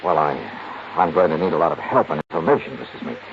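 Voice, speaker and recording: A man's voice: very deep voice, quick pace. An actor playing a cool, polite male insurance investigator with an American accent, narrating and questioning in a radio detective drama. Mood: probing. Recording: noisy, muffled old 1950s radio recording.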